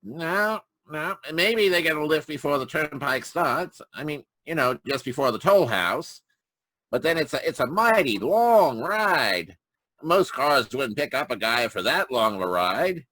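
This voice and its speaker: A man puts on a talkative country pump attendant for a vintage radio play. His voice is forward and bright, swinging widely in pitch. The sound is close and dry, the manner folksy and colloquial. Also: animated, a little slow, no room sound, heavily acted. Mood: incredulous, chatty. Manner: folksy, colloquial, easygoing small talk. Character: folksy, chatty male rural gas station attendant. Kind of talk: 1940s radio mystery acting. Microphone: remote video call.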